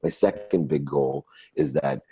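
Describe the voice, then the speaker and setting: A man's voice: very deep voice, a little slow, dark tone, breathy edge, no echo. A man of fifty giving earnest, emphatic motivational answers as an interview guest. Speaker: guest, motivational entrepreneur and speaker.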